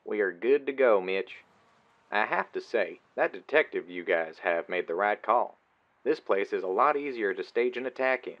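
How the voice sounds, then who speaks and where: A man's voice: on the low side, lively intonation, moderate pace. A man playing a businesslike ally readying an attack in an audio roleplay drama, heard through a radio-like filter. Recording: dull, band-limited sound.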